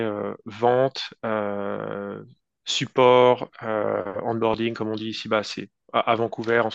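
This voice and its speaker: A man's voice: lowish voice, creaky, fairly bright tone, no room sound, muffled recording. A man in his thirties from France with a French accent, answering interview questions in a casual, hesitant way with many fillers and restarts. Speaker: guest entrepreneur.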